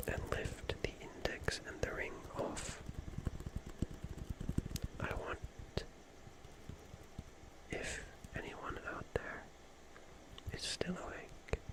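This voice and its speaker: A young man whispering casual, rambling ASMR in a hushed, gentle manner with long pauses. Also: poor audio. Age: young adult. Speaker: ASMR host.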